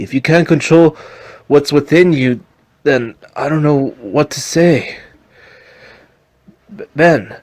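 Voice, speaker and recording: A man voicing a patient, wise Jedi master who instructs pupils deliberately, with dramatic pauses, in an amateur fan story. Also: on the high side, a little hiss or hum. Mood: firm instructing.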